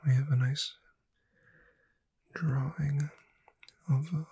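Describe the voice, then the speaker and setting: A woman whispering in a soothing, deliberate ASMR style, reading aloud to lull a listener to sleep. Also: deep voice, fairly even intonation, dark tone, a little hiss or hum. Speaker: ASMR performer.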